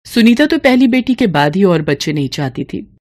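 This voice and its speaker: A woman's voice: mid-range voice, sing-song, moderate pace, a little mellow. A woman storyteller delivering scripted, dramatic narration of a moral tale.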